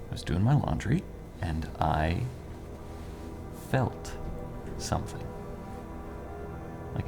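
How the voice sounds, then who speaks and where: An actor playing a modest, entranced ordinary man in an audio drama, halting and trailing off in hushed wonder. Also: sits low, vocal fry, full character voice. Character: awestruck ordinary male tenant, modest, entranced. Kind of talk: scripted podcast drama acting.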